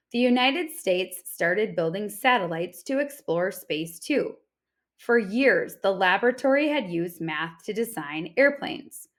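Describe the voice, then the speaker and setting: A woman reading an informational picture book aloud like a teacher, in a clear, deliberate storytelling manner with emphatic repetition. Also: mid-range voice.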